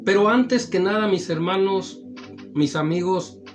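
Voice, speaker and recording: Slightly hoarse male preacher-host with a somewhat high voice, unhurried and repetitive, with music underneath. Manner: repetitive addresses, drawn-out phrases. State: slightly hoarse after the flu. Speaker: evangelical preacher and program host. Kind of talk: slow, warm-toned radio-style greeting and preaching. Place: studio in Pomona, California. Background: background music.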